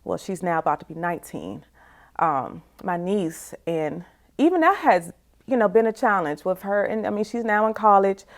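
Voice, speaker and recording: A woman's voice: mid-range voice, big pitch swings, normal speed. A woman in her forties giving a reflective, candid personal testimony as an interview guest, in a conversational way, often saying "you know". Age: 40s.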